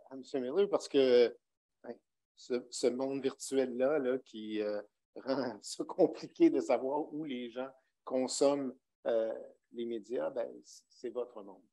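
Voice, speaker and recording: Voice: mid-range voice, takes it slowly, mellow. A panel moderator introducing speakers in a casual way.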